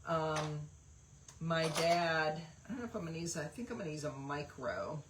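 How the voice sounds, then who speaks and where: A woman's voice: low voice, lively intonation, normal speed, background noise. A woman hosting a craft livestream, chatting casually and rambling with lots of fillers while she paints. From West Virginia, USA.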